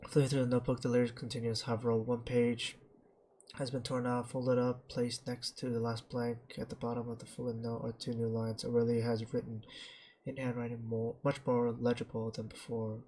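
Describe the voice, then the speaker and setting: A man narrating game text aloud in a dramatic, halting way, stumbling over some words as he reads. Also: mid-range voice, monotone, creaky, mellow, a little hiss or hum.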